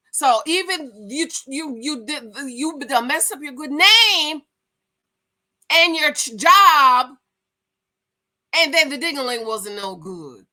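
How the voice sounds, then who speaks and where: A woman in her forties hosts her own commentary vlog in a sassy, gossipy way and calls her viewers "girl" and "y'all." Her voice is fairly high and very bright and forceful. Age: 40s. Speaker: commentary vlogger.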